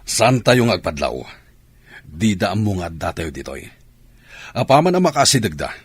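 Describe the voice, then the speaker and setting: A man's voice: low voice, big pitch swings, normal speed, slightly rough, no room sound, exaggerated voice. A man playing a military commander in a radio drama, urgent and commanding in his delivery. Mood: grave, commanding. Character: male military commander leading soldiers into battle. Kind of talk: Ilocano comedy radio drama acting.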